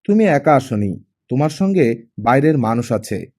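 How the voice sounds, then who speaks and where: Audiobook role: cold, sharp-tongued wife, read by a man, scolding with animated intonation. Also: medium pitch, normal speed. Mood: firm. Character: stern, sharp-tongued wife of a retired officer.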